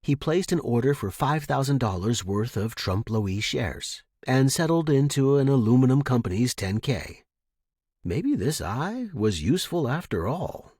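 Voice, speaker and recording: A man's voice: mid-range voice, very animated, unhurried, darkish tone, breathy edge, dry recording. Man narrating pulp fiction, dry close sound. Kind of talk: scripted narration of a pulp short story.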